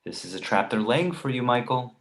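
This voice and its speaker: A man's voice: mid-range voice, animated, a little fast, fairly bright tone. Male comedy table read: actor as exasperated, cautious editor friend, widely swinging intonation. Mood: disapproving.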